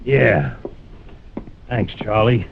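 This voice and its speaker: An actor playing a gruff, weary man with a curt, grumbling manner in a radio crime drama. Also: lowish voice, very animated, drawn-out words, heavily acted. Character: gruff, weary man just freed from ropes. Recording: muffled old radio recording, faint noise.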